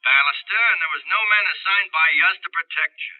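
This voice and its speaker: An actor playing a brusque, puzzled homicide officer through a telephone filter in a 1940s radio thriller. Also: male voice, on the high side, fast talker. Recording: thin, distant phone line on old radio.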